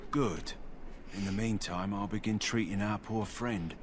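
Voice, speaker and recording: An actor playing a practical middle-aged kennel master in a fantasy video game, talking in a plain, matter-of-fact way. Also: male voice, fairly low pitch, unhurried, lightly acted. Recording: game audio with clear background noise.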